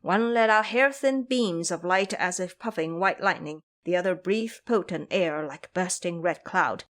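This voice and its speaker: A woman's voice: fairly low pitch, measured pace, fairly bright tone. A woman narrating a scripted fantasy story in a dramatic storytelling style.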